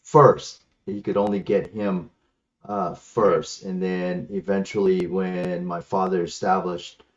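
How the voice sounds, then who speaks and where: A man's voice: sits low, little pitch movement, slow. A man from the Philippines, a native Ilocano speaker, answering casually as an interview guest over a headset. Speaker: guest, martial arts instructor. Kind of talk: casual interview answers, recounting his life. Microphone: headset on an online call. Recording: slightly muffled lo-fi headset call.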